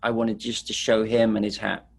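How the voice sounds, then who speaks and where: A man's voice: lowish voice, little pitch movement, moderate pace, vocal fry, breathy edge. A middle-aged man from England, casually telling stories as a street photographer, rambling with fillers. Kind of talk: casual presentation.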